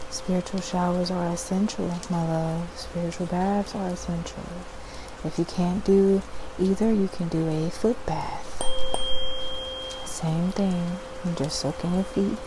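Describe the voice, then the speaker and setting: A woman speaking gently and reassuringly in an ASMR style, as a spiritual healer guiding a cleansing. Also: lowish voice, steady tone, noisy background. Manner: gentle, reassuring, repeating words slowly. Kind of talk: ASMR, soothing guided cleansing.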